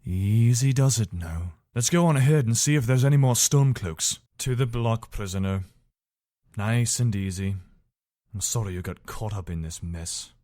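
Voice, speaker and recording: A man's voice: on the low side, moderate pace, warm tone, no echo, clean studio sound, lightly acted. A man acting an earnest, dutiful fantasy soldier. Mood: wary, practical, then solemn, regretful. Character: dutiful, weary but decent male imperial soldier. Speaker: voice actor for a game mod. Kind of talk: fantasy video game character dialogue.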